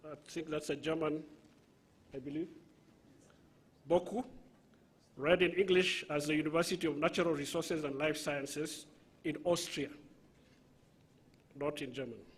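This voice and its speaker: A man with a Somali accent reading a formal citation at a graduation ceremony, measured and deliberate, with asides to the audience. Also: fairly high pitch, fairly bright tone, breathy edge. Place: large graduation ceremony venue.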